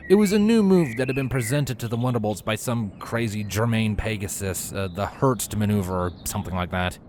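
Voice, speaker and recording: A man reading a story aloud as an expressive narrator. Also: medium pitch, a little hiss or hum. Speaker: fan fiction reader.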